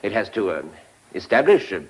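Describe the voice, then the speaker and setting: An actor playing a pompous, dithering government official, blustering and groping for words in a radio drama. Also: male voice, lowish voice, big pitch swings, fairly quick, rough recording. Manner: blustering, hesitant, searching for words.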